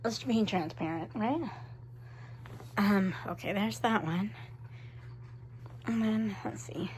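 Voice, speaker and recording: A woman vlogging to her camera in a chatty, animated way, with a cold and her voice starting to fade. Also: mid-range voice, a little hiss or hum. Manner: chatty, animated, quick asides. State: sick with a cold, voice fading. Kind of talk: casual chat to camera.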